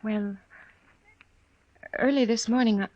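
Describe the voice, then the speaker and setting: A woman playing a weary, gentle, plain-spoken frontier wife with halting, careful speech in a western radio drama. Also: a little high, fairly even intonation, dry recording. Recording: dry, slightly dull old radio recording.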